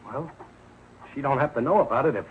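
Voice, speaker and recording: A man's voice: mid-range voice, expressive, fairly quick, lightly acted. A man playing a bumbling, evasive working-class husband and father in a 1940s television comedy, blustering and sheepish, with sloppy grammar. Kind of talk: 1940s television sitcom acting. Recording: muffled, noisy old 1940s TV soundtrack.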